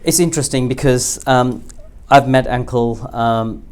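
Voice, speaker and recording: A man's voice: medium pitch, a little slow, a little mellow, clear, resonant tone. A man hosting an interview in a friendly, respectful, chatty way. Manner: friendly, respectful, chatty, calls guest uncle. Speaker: interview host. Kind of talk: casual interview.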